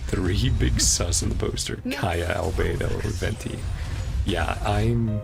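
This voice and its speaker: A man's voice: sits low, slow, creaky. A man chatting casually on a livestream while he plays a game, sounding easygoing and enthusiastic. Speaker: live streamer. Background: game music.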